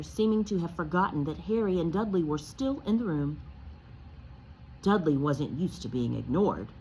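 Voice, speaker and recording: Female read-aloud narrator: slightly low, lively intonation, expressive children's story delivery, faint noise. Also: rough recording.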